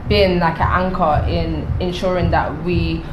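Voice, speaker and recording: Chatty young woman, lowish voice. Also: unhurried. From London, England. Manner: chatty, animated storytelling. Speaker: soca artist interviewee. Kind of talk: casual interview answers. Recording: roomy with ambient noise.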